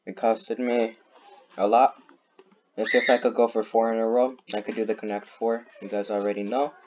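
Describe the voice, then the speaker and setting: A young man casually commenting on a racing game, excitable and rambling with lots of fillers and laughs. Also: fairly low pitch, normal speed, muffled recording, noisy background. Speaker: gaming YouTuber.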